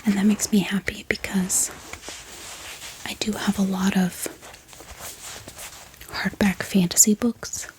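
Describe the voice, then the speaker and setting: A woman whispering in a breathy, unhurried and gentle manner as she shows items for an ASMR video. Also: mid-range voice, little pitch movement, poor audio. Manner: unhurried, gentle, repeats words softly. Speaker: book haul video host. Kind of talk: whispered ASMR product showcase.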